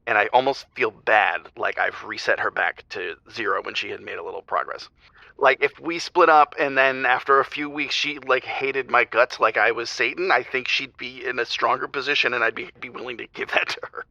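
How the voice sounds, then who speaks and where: A grieving husband confesses haltingly on a radio call-in drama, blaming himself. His voice is very bright and tense with a creaky edge, heard dry over a dull, narrow line. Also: medium pitch, steady tone, no room sound. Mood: weary, confiding. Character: heartbroken, self-blaming husband grieving a failing marriage. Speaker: radio call-in show caller. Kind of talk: naturalistic audio drama acting. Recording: dull, band-limited phone-call sound.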